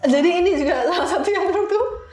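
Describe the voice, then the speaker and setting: A woman's voice: extremely high pitch, fast talker, over loud music. A young woman with an Indonesian accent telling stories casually as an interview guest, informal, with English terms mixed in. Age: young adult. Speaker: interview guest, software engineer. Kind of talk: casual conversational storytelling. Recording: echoey room.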